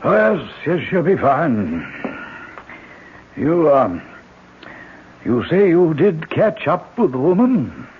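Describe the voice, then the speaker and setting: A man's voice: a little high, very animated, slow pace, long pauses. A man playing a polite, concerned, hesitant doctor in a 1970s radio drama on a muffled, hissy broadcast.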